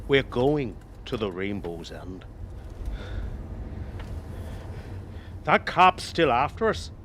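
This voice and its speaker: An actor playing a chatty, wily older ex-cabbie in a radio drama, with a Northern Irish accent and a wry, insistent manner. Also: male voice, medium pitch, very animated, a little slow, fairly bright tone, slight background noise. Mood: stubborn. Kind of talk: naturalistic radio drama acting.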